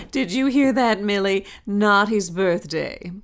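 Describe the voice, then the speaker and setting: An actress playing a scheming governess in an audio melodrama, cooing and prim with a veneer of sweetness. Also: female voice, mid-range voice, slow pace. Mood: cloying. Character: scheming governess feigning sweetness toward her charges. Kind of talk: melodramatic audio drama acting.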